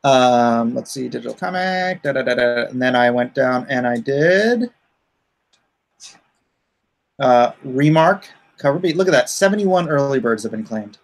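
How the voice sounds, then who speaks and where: A man's voice: mid-range voice, lively intonation, normal speed, vocal fry, few pauses. A man hosting a casual livestream as a comic creator, rambling and thinking aloud as he talks through his campaign.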